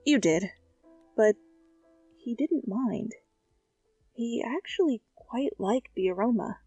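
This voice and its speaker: Young woman reading fanfic ASMR, casual and chatty with swearing asides, slow-paced, dry close mic. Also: fairly high pitch, animated, breathy edge, no room sound. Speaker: fanfic reader. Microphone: close studio mic. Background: soft music.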